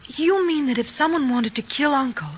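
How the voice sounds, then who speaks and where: A woman's voice: sits high, a little fast, lo-fi sound, lightly acted. A woman playing a hesitant, anxious young woman who stammers in old radio drama. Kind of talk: 1940s radio acting.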